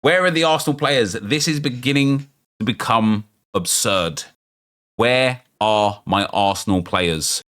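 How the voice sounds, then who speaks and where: A man, chatty and excitable, thinking aloud as he opens packs in a football game, losing his voice and getting unwell. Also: mid-range voice, unhurried. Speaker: gaming YouTuber. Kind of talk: casual pack-opening commentary.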